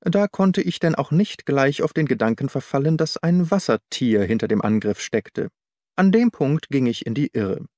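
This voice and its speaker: A man voicing an astute, self-assured gentleman detective in an audiobook, precise and composed as he explains. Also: mid-range voice, animated, moderate pace, no room sound, studio quality, lightly acted. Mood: composed, explanatory. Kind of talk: audiobook character dialogue.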